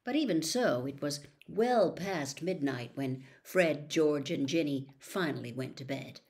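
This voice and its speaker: A woman's voice: sits low, expressive, unhurried, darkish tone. A woman reading a children's fantasy novel aloud as a storybook narrator. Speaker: audiobook reader.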